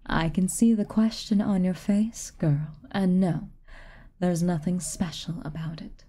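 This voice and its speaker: A woman voicing a haughty, reclusive tsundere witch in a soft ASMR roleplay, aloof and commanding, at times dropping near a whisper. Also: lowish voice, steady tone, slow. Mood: aloof, stern. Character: haughty, reclusive tsundere witch, stern but secretly kind.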